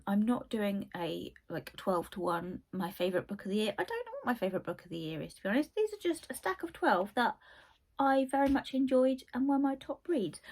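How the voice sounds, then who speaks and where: A woman's voice: medium pitch, breathy edge. A young woman with a cold, hosting a book channel and chatting casually about her favourite reads, rambling with lots of asides. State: croaky from a cold. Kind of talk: casual book review chat.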